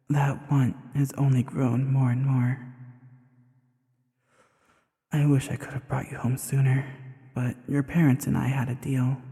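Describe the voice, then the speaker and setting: A man playing a possessive, tender evil sorcerer in an intimate audio roleplay, speaking soothingly and with soft menace straight to the listener. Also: mid-range voice, close to an everyday voice. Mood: devoted, tender. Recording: long hollow reverb.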